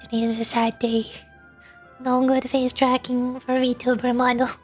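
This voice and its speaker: A woman's voice: on the high side, little pitch movement, a little slow. A woman VTuber chatting casually to livestream viewers in a rambling, playful way, often repeating words. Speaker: VTuber streamer. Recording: background music. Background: music.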